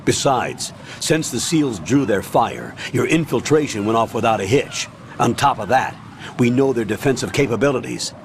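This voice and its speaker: Actor as a stern military commander, faint noise behind. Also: male voice, medium pitch, expressive, natural voice. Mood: composed. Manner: commanding, measured briefing. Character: stern, authoritative older male military commander. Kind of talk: video game voice acting.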